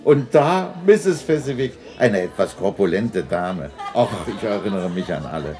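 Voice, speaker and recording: A man's voice: on the low side, lively intonation, a little slow, over music, crowd noise. A man acting a remorseful old miser moved by his memories in a 1960s German radio drama. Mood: fond nostalgia. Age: older.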